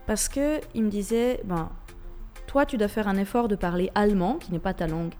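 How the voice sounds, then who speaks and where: A woman's voice: mid-range voice, moderate pace, mellow, faint music behind. A woman from Switzerland with a Swiss French accent, a radio guest who talks with many hesitations and restarts. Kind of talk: radio interview.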